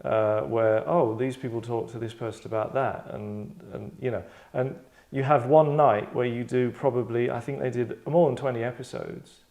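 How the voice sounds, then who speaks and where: A man's voice: mid-range voice, fairly even intonation, moderate pace, creaky, hardly any pauses, a little mellow. A man in casual host mode, talking in a mid-range voice. He rambles and digresses with a tongue-in-cheek manner as he sets up a script reading. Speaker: host and writer running a table read.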